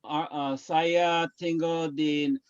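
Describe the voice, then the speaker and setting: A man's voice: on the high side, little pitch movement, very slow, clear, resonant tone, highs cut off. A man from the United States, a native English speaker, asking a question in hesitant learner Indonesian with many ums and uhs.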